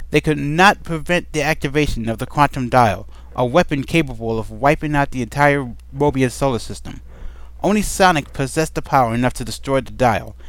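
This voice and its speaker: A man's voice: medium pitch, dry recording. A man talking casually into a desk microphone at home, rambling and playful as he answers questions. His voice sits in a middle range, and the recording is close and dry, with no room sound. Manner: rambling and playful, with many ums. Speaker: vlogger answering a question tag. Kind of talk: casual chat.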